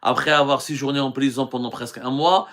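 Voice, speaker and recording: A man's voice: medium pitch, fairly even intonation, a little fast, fairly bright tone. Middle-aged algerian guy doing a live stream, earnest, lots of ums and restarts. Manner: earnest, talks with many hesitations and restarts. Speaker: journalist hosting a live stream. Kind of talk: live stream answering viewer questions.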